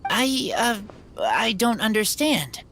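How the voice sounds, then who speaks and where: A man playing an earnest, gentle feral teenage boy in a video game, speaking in terse, broken sentences. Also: high-pitched, very animated, slow, a little hiss or hum, exaggerated voice. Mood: confused. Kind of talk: video game character acting. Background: background music.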